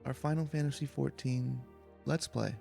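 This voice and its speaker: A man hosting a relaxed video game let's play, talking in a low-key, halting, casual way over music. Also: creaky. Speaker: host of a gaming let's play. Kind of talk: relaxed, slow-paced let's play commentary. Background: soft music.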